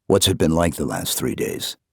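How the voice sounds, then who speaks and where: Stoic armored supersoldier played by a man, extremely low and husky, terse, dutiful, for audiobook dialogue. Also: sing-song, normal speed, darkish tone, no room sound, clean studio sound, heavily acted. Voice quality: gravelly. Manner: terse, restrained.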